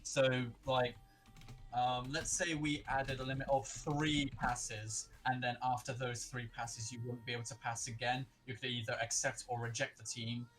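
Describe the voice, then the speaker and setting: A man's voice: medium pitch, little pitch movement, moderate pace, fairly bright tone. A man in a casual voice chat, hesitant, stammering and restarting his words as he explains an idea.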